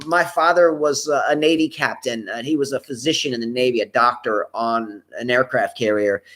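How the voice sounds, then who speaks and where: A man co-hosting a casual live talk show, reading viewer comments aloud and picking apart their words. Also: medium pitch. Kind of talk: casual live-stream chat.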